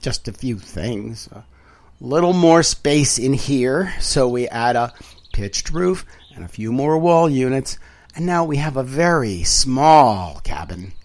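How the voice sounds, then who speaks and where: Male audiobook narrator, mid-pitched, for literary prose; wry and theatrical, with deliberate phrasing. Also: animated. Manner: dramatic, wry, deliberate phrasing with emphatic swells and hushed drops.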